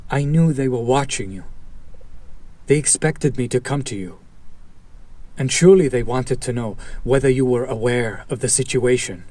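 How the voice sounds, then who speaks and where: A man playing a fallen hero turned villain, an idealistic, bitter and protective former partner, speaking earnestly with dramatic pauses in an audio roleplay. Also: mid-range voice, darkish tone, close to an everyday voice. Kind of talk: dramatic audio roleplay monologue addressed to listener.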